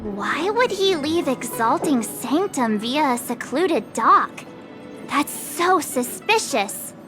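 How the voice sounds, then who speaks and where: A woman playing an excitable, eager girl who acts as a detective's sidekick in a video game, chatty and dramatic. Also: high-pitched, slow pace, music in the background, exaggerated voice. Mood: curious, playful.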